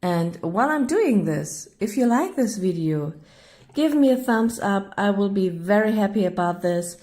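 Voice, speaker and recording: A woman from northern Germany with a German accent, narrating her baking video in English, a second language, pausing often to find words. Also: medium pitch, lively intonation, a little mellow. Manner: searching for English words, apologetic, hesitant with many uh fillers. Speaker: cooking vlogger.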